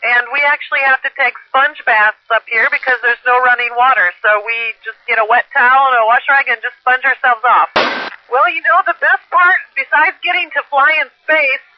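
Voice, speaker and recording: A man's voice: very high-pitched, fairly even intonation, moderate pace, dry recording. A man speaking as an astronaut over a two-way radio, answering questions in a casual, explanatory way. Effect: two-way radio transmission. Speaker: astronaut aboard a space station answering questions. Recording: muffled lo-fi amateur radio link, hissy static.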